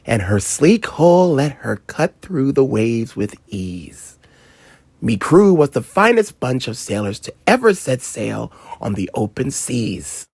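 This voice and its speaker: A storyteller voicing a gruff old pirate captain's ghost with growling pirate dialect, nostalgically telling a children's storybook tale. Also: medium pitch, dry recording, exaggerated voice. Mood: proud, nostalgic. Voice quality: gravelly, growling.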